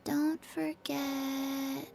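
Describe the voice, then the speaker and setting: Tiny zombie girl from a game, super slow n high, kinda flat and forgetful, soft music behind. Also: vocal fry, heavily acted. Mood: earnest. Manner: slow, halting, flat recital of rules. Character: forgetful little zombie girl, earnest and deadpan. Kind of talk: video game voice acting.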